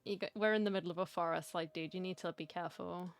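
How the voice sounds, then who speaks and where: A woman's voice: lowish voice, fairly even intonation, fast talker, soft background music. A woman giving casual, informal commentary as she plays through a game, chatting and reacting aloud. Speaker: game streamer.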